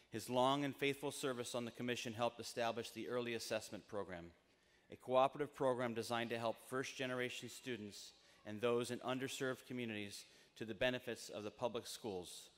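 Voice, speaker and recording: Formal low-voiced American man, heavy reverb. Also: a bit bright. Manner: measured, formal, emphatic phrasing. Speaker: university trustee speaking at commencement. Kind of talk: formal ceremonial speech, read citation. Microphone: podium microphone. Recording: long reverberant PA in large tent.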